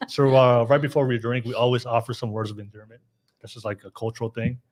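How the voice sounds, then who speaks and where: A man with a Californian accent hosts a live podcast in a casual, upbeat way. He laughs easily, throws in lots of fillers and often restarts what he is saying. His voice sits at an ordinary middle pitch. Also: fairly even intonation, fast. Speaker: podcast host.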